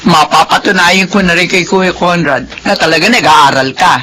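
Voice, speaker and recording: A woman's voice: on the low side, normal speed, bright, forceful tone, a little hiss or hum. A woman voicing a weary, self-sacrificing mother in a classic radio drama, talking emotionally and with many exclamations. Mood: tender. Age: middle-aged. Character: worn-out self-sacrificing mother of three grown children. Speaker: voice actress.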